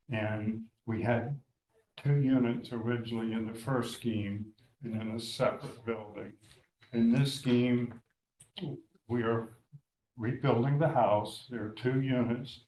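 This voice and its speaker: A man's voice: mid-range voice, a little mellow. An architect in his eighties with a scratchy voice, presenting plans step by step at a public hearing. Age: 80s. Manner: plain, measured, walks through details step by step. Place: town meeting room. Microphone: handheld microphone. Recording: echoey meeting room.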